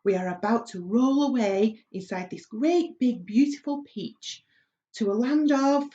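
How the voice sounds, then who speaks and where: A woman voicing a kind, gentle female ladybird in a storybook, confiding and assured. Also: a little high, measured pace, muffled recording, close to an everyday voice. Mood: reassuring.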